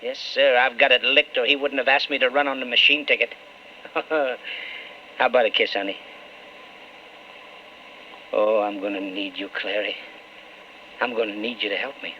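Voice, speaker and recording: An actor playing an eager, boastful Southern politician husband in a folksy, coaxing way, in an old-time radio drama. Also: male voice, mid-range voice, steady tone, normal speed, long pauses, lightly acted. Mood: boastful, then tender. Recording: muffled, noisy old radio recording.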